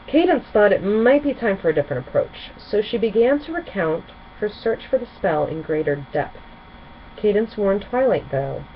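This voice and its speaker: A woman narrating a children's story in an expressive storytelling style. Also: mid-range voice. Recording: dull sound with faint background noise.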